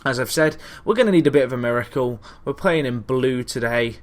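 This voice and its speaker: A man's voice: medium pitch, a little fast. A man hosting a gaming video with casual, chatty commentary on a football management game, hesitating and thinking aloud as he goes.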